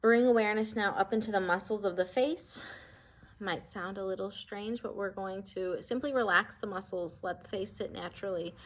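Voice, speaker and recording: A woman leading a guided body scan meditation as an instructor, speaking in a gentle, reassuring way that gives permission. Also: medium pitch, moderate pace, low-quality recording.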